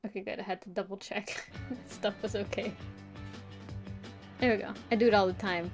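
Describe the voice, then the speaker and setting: Young woman, casual stream chat, medium pitch, giggly, rambling, quiet music behind. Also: fairly even intonation, moderate pace. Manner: rambling, lots of "like" fillers; giggly, playful voice play.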